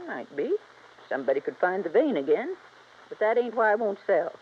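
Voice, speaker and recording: Woman acting old frontier widow, rural American accent, bossy, folksy, very animated, dull hissy 1950s radio recording. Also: medium pitch, fairly quick, natural voice. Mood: confiding. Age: older. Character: feisty, stubborn old frontier widow guarding her mine. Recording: muffled, noisy vintage radio recording.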